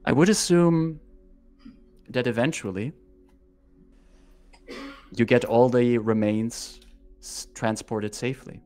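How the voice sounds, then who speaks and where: Slow, deliberate male game master. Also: medium pitch, darkish tone, faint music behind. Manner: deliberate, evocative storytelling. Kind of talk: narrating a tabletop roleplaying game.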